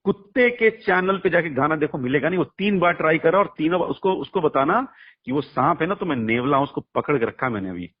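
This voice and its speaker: A man's voice: fairly high pitch, lively intonation, brisk, slightly rough. A man talking bluntly and emphatically in a heated phone conversation, arguing his case with rhetorical questions. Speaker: music artist's manager being interviewed. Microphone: phone call. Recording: muffled lo-fi phone call recording.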